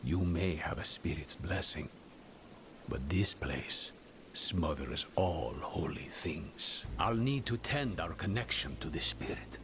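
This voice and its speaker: An actor playing an older, sardonic spiritual guide and loyal friend in a video game, with a measured, wry delivery. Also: male voice, very deep voice, animated, background noise, full character voice. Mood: wry, cautious. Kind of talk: dramatic video game cutscene acting. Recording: loud music under the voice.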